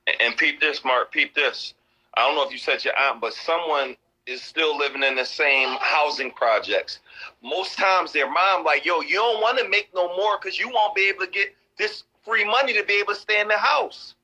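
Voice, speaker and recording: A man's voice: fairly high pitch, normal speed, bright, forceful tone, slightly rough, rough recording. A man co-hosting a live stream, urging viewers with direct, exhorting talk.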